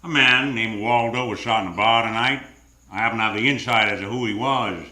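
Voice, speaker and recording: An actor playing a cool, sardonic private detective in a deadpan 1940s-style live radio drama. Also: male voice, mid-range voice, a little fast. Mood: cool, wry. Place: theater stage. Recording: roomy live theater stage sound.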